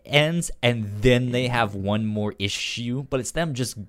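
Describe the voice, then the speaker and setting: A man's voice: medium pitch, a little slow. A man co-hosting a podcast in casual discussion of comics, with a stutter that repeats sounds and words. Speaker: podcast co-host.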